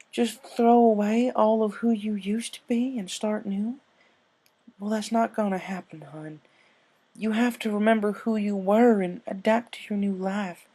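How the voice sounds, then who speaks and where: A protective farm mare from a pony storybook, voiced by a male reader. The voice sits higher, with a firm, folksy, plain-spoken way of talking to friend and youngster alike. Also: mellow.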